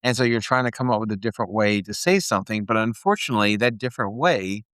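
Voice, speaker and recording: A man's voice: lowish voice, fast talker, a bit bright, clean tone, no echo. Chatty male podcast host, dry close mic. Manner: chatty, rambling, self-deprecating. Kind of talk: casual podcast chat.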